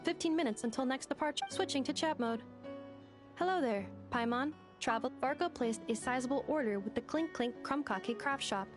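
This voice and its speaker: A woman's voice: high-pitched, moderate pace, over music, natural voice. A woman voicing a polite, literal robot delivery girl in a video game, speaking in formal, procedural announcements. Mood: matter-of-fact.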